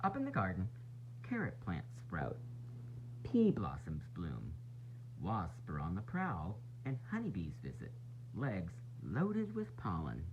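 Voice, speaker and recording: A man reading a children's picture book aloud in an expressive, dramatic, sing-song way, with varied emphasis. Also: low voice, slow. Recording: dull lo-fi sound, faint noise and hum.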